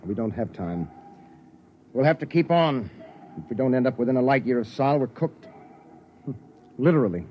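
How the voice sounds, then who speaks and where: A man's voice: medium pitch, expressive, normal speed, pauses often, lightly acted. Weary, commanding male starship captain, acted, dry wit, vintage sci-fi radio with muffled sound and faint noise. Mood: wry, grim. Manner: commanding, dryly sardonic. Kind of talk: 1950s radio drama acting. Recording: dull, noisy vintage radio recording.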